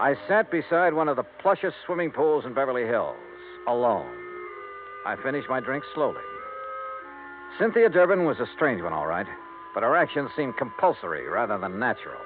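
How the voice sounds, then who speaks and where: A male actor plays a hard-boiled male insurance investigator from a radio noir serial. He speaks in a dry, clipped, sardonic way at a middling pitch. The sound is a narrow, tinny old broadcast with music clearly behind it. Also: sing-song, moderate pace, natural voice. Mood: wry, brooding. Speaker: lead actor and narrator. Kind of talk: 1950s radio noir acting, narration.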